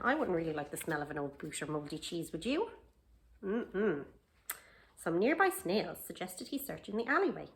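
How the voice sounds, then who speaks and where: Animated woman reading a children's picture book. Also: fairly low pitch. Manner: expressive, questioning, drawn-out emphasis. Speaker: library storytime reader. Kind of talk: children's picture-book read-aloud with asides to young listeners.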